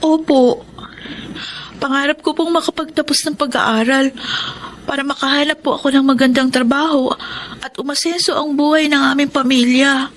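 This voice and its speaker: High-voiced earnest young housemaid played by an actress. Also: female voice, steady tone, normal speed, slight background noise, lightly acted. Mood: wistful, hopeful. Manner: polite, deferential. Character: earnest, grateful teenage daughter, hardworking housemaid. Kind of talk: Filipino radio drama acting.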